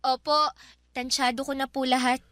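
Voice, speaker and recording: A woman's voice: high voice, little pitch movement, normal speed, fairly bright tone, dry recording, studio quality. A woman playing a polite young teenage girl in a radio drama, with a Filipino accent. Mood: meek. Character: polite, eager thirteen-year-old granddaughter and niece.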